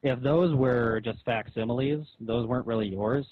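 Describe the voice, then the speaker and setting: A man with a rough, husky voice of average pitch argues over the phone. He is insistent and questioning. The line is thin and narrow, with a very dry sound. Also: normal speed, no echo. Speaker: comic artist on a phone call. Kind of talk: argumentative phone conversation. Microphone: phone call.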